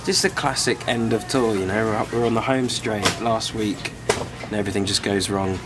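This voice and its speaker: A man talks through a tour diary while nursing a head cold, and his voice is hoarse and worn. He speaks in an easygoing, self-deprecating way, stumbling over fillers as he goes. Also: on the low side, fairly even intonation, normal speed, over loud music. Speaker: band member filming tour diary. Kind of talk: casual tour vlog narration.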